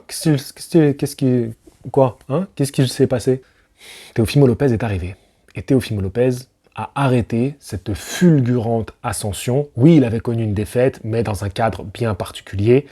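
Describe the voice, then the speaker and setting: A man's voice: medium pitch, mellow, breathy edge. A man hosting a casual boxing analysis, talking straight to the viewer with slangy asides and rhetorical questions. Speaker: boxing channel host.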